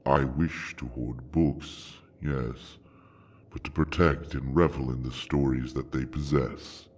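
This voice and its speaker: A man playing an ancient, bookish dragon, proud and possessive, with a deep gravelly voice and a formal, grandiose way of speaking in a fantasy audio drama. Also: fairly even intonation, heavily acted. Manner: slow, formal, grandiose speech.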